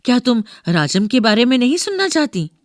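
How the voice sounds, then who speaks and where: A woman's voice: fairly high pitch, brisk, dry recording, studio quality, pitched above the performer's own voice. A woman voicing an eager, impatient young grandson who is easily annoyed, in a storybook reading. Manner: insistent, excitable.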